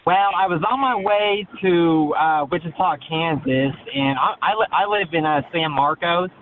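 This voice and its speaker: A man calling on a thin phone line, talking in a halting, hesitant, evasive way. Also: fairly high pitch, a little hiss or hum. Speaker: caller.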